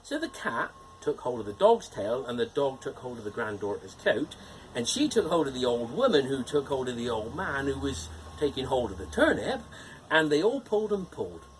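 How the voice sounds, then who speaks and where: A man's voice: medium pitch, normal speed, slight background noise, natural voice, rough recording. A man playing a cheerful, playful male gnome who hosts and reads a bedtime fairytale. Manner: animated, playful storytelling with teasing jokes. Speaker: storyteller host.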